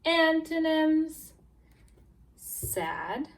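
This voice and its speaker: Very slow, animated woman teacher reading aloud. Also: high-pitched. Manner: expressive, exaggerated storytelling, emphasizing key words; encouraging, prompts listeners and praises answers. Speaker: teacher reading a picture book to English language learners. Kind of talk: read-aloud lesson for young children, with questions and prompts.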